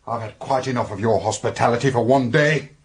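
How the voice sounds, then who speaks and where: An actor playing an indignant, haughty British academic in a radio drama, clipped and combative. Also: male voice, mid-range voice, fairly quick, heavy background noise, close to an everyday voice. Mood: outraged, icy. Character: indignant, haughty male academic doctor under accusation.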